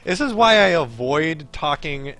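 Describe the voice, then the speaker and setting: A man streaming a game, reading its text aloud in an expressive, wry way with casual asides. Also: a little high, measured pace, soft background music. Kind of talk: live playthrough, narrating text with casual asides.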